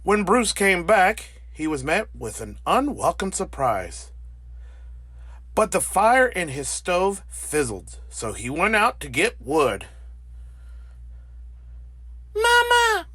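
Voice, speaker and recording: A man narrating a children's picture book as an animated read-aloud. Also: a little high, slow, slightly rough, dry recording. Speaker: storybook narrator.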